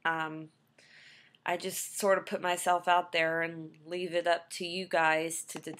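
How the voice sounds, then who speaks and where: A woman's voice: lowish voice, little pitch movement, measured pace, a bit bright. A young woman, a trained singer, talking casually about her background to a webcam, with plenty of ums and restarts. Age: young adult. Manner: rambling, many ums and restarts.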